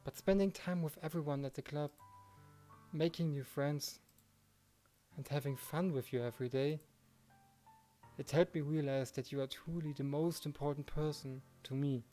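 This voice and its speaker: A man voicing an earnest, devoted teenage boy in love, reading a visual novel aloud in a gentle, sincere way. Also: fairly high pitch, steady tone, moderate pace, long pauses, soft background music, close to an everyday voice. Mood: earnest, loving.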